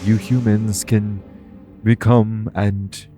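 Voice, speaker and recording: A man playing a calm, protective guardian angel in an audio roleplay, speaking in a formal, measured, earnest way. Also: fairly low pitch, soft background music. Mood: solemn, explaining. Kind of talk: audio roleplay acting, addressed to the listener.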